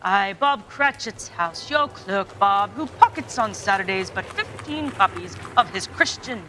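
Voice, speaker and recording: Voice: medium pitch, expressive, measured pace, natural voice. Someone doing big jolly giant spirit for a radio play, hearty, noisy recording. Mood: genial.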